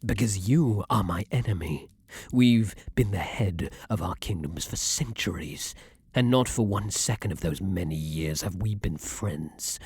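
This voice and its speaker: Man playing a breathy, brooding vampire king. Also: deep voice, expressive, darkish tone, no echo, heavily acted. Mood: shocked denial, then guarded, hostile. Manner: formal archaic phrasing, dramatic pauses, breathy murmurs. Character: centuries-old vampire king, haughty, brooding, secretly romantic. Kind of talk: intimate ASMR roleplay monologue.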